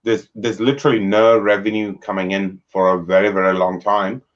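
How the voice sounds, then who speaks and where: A man hosting a casual video-call chat about whisky, relaxed and chatty. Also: lowish voice, brisk, lo-fi sound. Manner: relaxed, chatty, uses fillers. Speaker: host.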